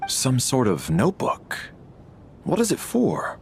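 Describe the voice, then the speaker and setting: A man's voice: medium pitch, huge pitch swings, takes it slowly, gravelly, a little mellow, faint music behind, heavily acted. An actor playing a direct, businesslike, inquisitive male detective in a video game cutscene. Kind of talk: anime-style video game cutscene acting.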